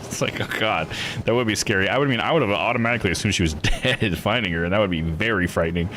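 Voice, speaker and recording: A man's voice: lowish voice, quick pace, a bit bright, slight background noise. A man streaming a visual novel with casual, slangy commentary, musing aloud.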